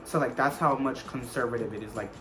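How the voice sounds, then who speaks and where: A man's voice: mid-range voice, fast talker, low-quality recording. A man in his twenties with an American accent, chatting casually and rambling a little as he answers questions as a vlogger, often repeating phrases for emphasis. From United States. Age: 20s. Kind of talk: casual Q&A chat to camera. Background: background music.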